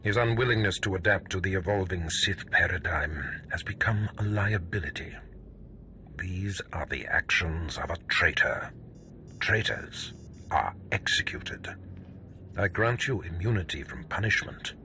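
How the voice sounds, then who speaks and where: A man playing a stern, contemptuous overseer in a video game, speaking in imperious, deliberate pronouncements. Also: low voice, sharp tone, slight background noise, heavily acted. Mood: menacing contempt. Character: stern, contemptuous Sith overseer, a cold commanding male master. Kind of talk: video game cutscene acting.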